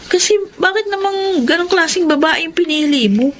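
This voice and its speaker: A woman's voice: very high-pitched, expressive, normal speed, a bit bright, slight background noise. A woman playing a cheerful, teasing married younger sister who mixes English words into her talk, in a radio drama. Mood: concerned.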